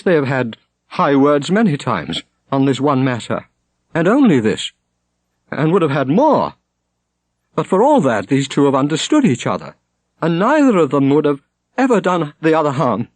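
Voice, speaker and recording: A man's voice: fairly high pitch, no room sound, pitched above the performer's own voice. A man voicing a proud, fierce, grieving young Welsh woman in an audiobook, harsh and scornful. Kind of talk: audiobook character acting.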